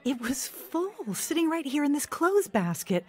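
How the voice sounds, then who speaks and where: A woman playing an animated farm mother in a radio drama, exclaiming in startled, amused scolding. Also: high-pitched, unhurried.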